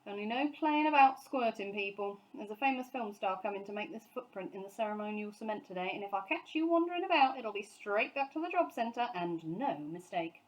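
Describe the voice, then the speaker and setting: A woman voicing a brisk, bossy male factory foreman in a children's storybook, giving colloquial instructions. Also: medium pitch, lively intonation, close to an everyday voice, low-quality recording. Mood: brisk, warning.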